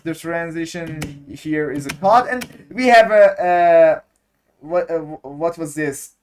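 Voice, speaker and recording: A man talks slowly through a video editing tutorial, his voice sitting a little high. He stops often, fills gaps with "uh" and hunts for the right English words as he goes. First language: Persian. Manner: halting, many fillers, searching for English words. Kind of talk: unscripted screen-recorded tutorial.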